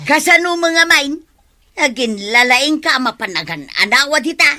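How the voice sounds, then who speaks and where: Radio comedy casting: fretful Ilocano villager, high-pitched, sharp and forceful. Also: female voice, animated, normal speed, exaggerated voice. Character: fretful, worried village woman urging others along. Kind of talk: comic radio drama acting.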